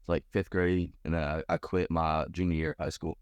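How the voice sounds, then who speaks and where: Young man, American accent, deep smooth voice, college athlete, casual slangy interview talk. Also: level tone, normal speed, no echo, lo-fi sound. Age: around 20. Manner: informal, slangy. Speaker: college baseball pitcher being interviewed.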